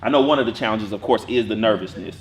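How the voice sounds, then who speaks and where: A man teaching an acting class, talking casually in a lively way with a strong voice and acting out bits of his stories. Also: lowish voice, fast, reverberant.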